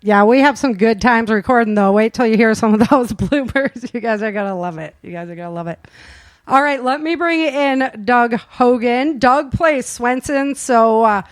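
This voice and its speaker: A woman's voice: fairly high pitch, normal speed, dry recording. A woman hosting a casual cast interview, chatty and informal, often chuckling as she talks. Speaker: host and producer of an audio drama.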